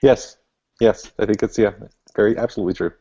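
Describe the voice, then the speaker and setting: A man's voice: lowish voice, steady tone, fairly quick, warm tone, breathy edge, highs cut off. A man, a guest author, answering interview questions in a thoughtful, explanatory way with many fillers. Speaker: guest author and language learning expert.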